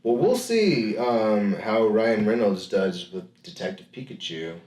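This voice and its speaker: A man's voice: on the low side, expressive, unhurried, a little mellow. A man co-hosting a podcast in a laid-back casual chat, often agreeing and using filler words. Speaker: podcast co-host. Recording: roomy echo.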